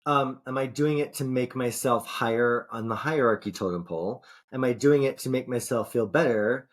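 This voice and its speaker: A man's voice: medium pitch, normal speed. Rambling, profane male podcast host. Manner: rambling, profane, frequent restarts. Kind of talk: casual podcast chat.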